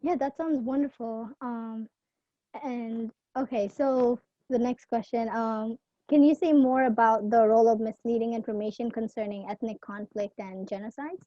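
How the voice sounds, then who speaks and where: A woman's voice: fairly high pitch, steady tone, normal speed, dark tone, no room sound. A young woman interviewer asking prepared questions on a video call, careful and polite as she reads from her notes. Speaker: student interviewer. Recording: dull lo-fi video call audio.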